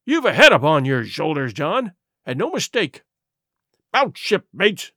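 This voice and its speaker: A man voicing a superstitious, excitable pirate deckhand in a storybook, exclaiming in rough seafaring speech. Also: fairly high pitch, unhurried, no room sound, clean studio sound, exaggerated voice. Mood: relieved.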